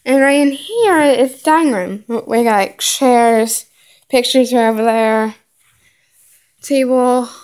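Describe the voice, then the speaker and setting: Slow, halting high-voiced woman filming a house tour. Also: darkish tone. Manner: halting, many fillers, lists items one by one. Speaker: vlogger giving a home tour. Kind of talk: casual home tour narration. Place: house rooms, indoors. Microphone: handheld phone camera, filming while walking.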